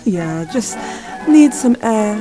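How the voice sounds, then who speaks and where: A woman's voice: mid-range voice, expressive, takes it slowly, poor audio. A woman playing a guarded, envious Victorian writer in an audio drama, speaking in a clipped, evasive way. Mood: terse, defensive. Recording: loud music under the voice.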